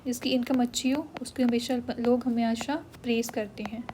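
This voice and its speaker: A woman's voice: fairly high pitch, fairly even intonation, moderate pace, noisy background. A woman with an Indian English accent teaching English vocabulary in mixed Hindi and English, checking in often with her students. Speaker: English exam tutor. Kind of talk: Hinglish vocabulary lesson.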